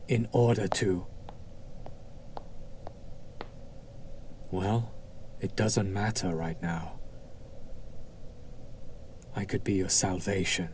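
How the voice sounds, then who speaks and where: A man playing a smug, seductive demon in a roleplay audio drama, coaxing and condescending with deliberate pauses and a teasing menace. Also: fairly low pitch, a little mellow, a little hiss or hum. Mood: smug, menacing.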